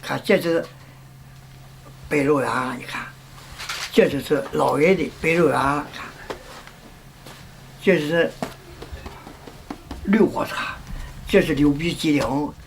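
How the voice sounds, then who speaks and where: A man's voice: fairly high pitch, slow pace, creaky, pauses often, slight background noise. A man talking casually and conversationally as a documentary interviewee.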